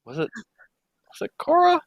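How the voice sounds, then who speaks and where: A young adult man in casual group conversation, speaking in halting, hesitant asides. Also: very high voice, huge pitch swings, vocal fry, dry recording.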